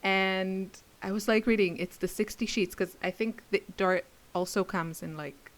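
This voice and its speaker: A woman's voice: medium pitch, fairly even intonation, moderate pace. A woman crafter chatting casually to her viewers in a rambling, giggly way. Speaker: craft video creator and class teacher. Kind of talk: casual rambling chit-chat to camera.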